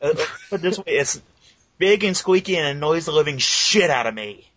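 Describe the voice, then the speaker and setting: A man who voices fan dubs, chatting casually, halting with fillers and swearing. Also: on the high side, expressive, a bit bright, slight background noise. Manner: hesitant, sweary. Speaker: fan dub voice actor. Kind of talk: casual podcast chat. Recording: dry, dull lo-fi recording.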